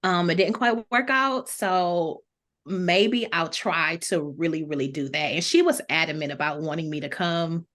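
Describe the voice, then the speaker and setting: Female podcast panelist, slightly low voice, informal and slangy, feeling her way through thoughts. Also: unhurried, a bit bright. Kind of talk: casual chat.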